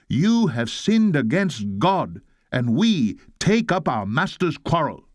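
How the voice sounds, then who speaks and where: A man's voice: mid-range voice, dry recording, studio quality. A man reading an old sermon aloud in a solemn, oratorical style, exhorting listeners with weighty rhetorical delivery. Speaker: reader of a historic sermon.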